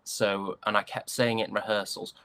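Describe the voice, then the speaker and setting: A man's voice: on the low side, little pitch movement, normal speed, pushed and bright, clear, resonant tone, no echo. A British man chatting casually as an interview guest, an actor with a joking, self-deprecating way of talking. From United Kingdom.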